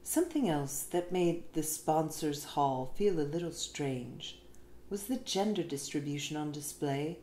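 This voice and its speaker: A woman's voice: sits low, animated, unhurried, dark tone. A woman reading an essay aloud as scripted narration, in a roomy recording with faint noise behind her. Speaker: reader of an essay.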